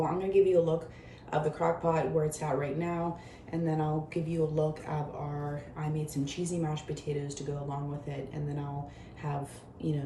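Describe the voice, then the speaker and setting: A woman hosting her own home cooking show with a hoarse, worn voice, chatting viewers through each step of a recipe in her kitchen. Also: sits low, warm tone, echoey room, a little hiss or hum. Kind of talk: casual cooking demonstration.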